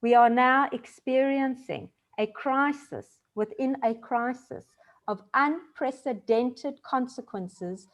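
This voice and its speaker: A woman's voice: high voice, slow. Earnest woman on a panel call. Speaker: panelist, breathwork practitioner. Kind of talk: prepared panel remarks. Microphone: video call.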